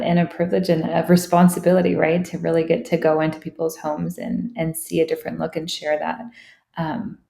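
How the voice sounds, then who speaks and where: Woman host, enthusiastic, affirming, gravelly voice, echoey room. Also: fairly low pitch, darkish tone. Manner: enthusiastic, affirming, with many fillers. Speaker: podcast host. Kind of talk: conversational interview.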